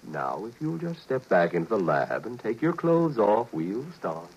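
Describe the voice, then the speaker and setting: An actor in a 1950s radio drama playing a sly, small-time dealer of illegal copies who smoothly calls his customer brother. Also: lowish voice, sing-song, a little slow, background noise. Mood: wheedling. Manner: smooth, ingratiating, keeps saying brother. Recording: muffled vintage radio broadcast.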